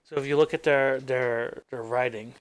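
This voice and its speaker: A man's voice: medium pitch, fairly even intonation, moderate pace, low-quality recording. A man hosting his own channel, thinking aloud as he works on his screen, rambling and trailing off into mumbles. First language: English. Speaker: gaming channel host. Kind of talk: casual screen-recording commentary.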